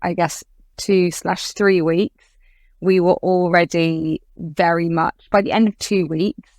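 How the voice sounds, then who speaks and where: English woman in her 30s on a podcast, lowish voice, very chatty, dry close sound. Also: darkish tone, no room sound. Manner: chatty, fills with "like" and "I guess". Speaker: podcast guest. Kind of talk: casual interview chat.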